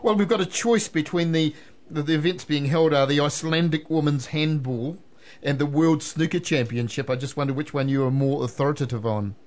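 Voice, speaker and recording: Jovial New radio host. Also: male voice, a little high, fairly quick, a little mellow. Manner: jovial, teasing, laughs often. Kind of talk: relaxed radio chat.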